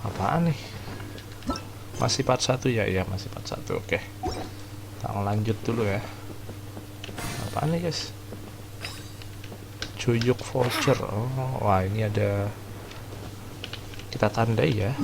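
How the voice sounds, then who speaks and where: Indonesian dude streaming a game, casual n mumbly, bit deep and gravelly, noisy bg. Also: male voice, measured pace, long pauses. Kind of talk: casual let's-play commentary. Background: game audio.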